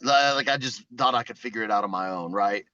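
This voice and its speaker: A blunt man who swears freely and often restarts his sentences as he answers. His voice has a bright edge. The sound is dry and muffled, like a dull line. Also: fairly low pitch, fast talker, no echo. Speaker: sales rep prospect. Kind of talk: casual phone call.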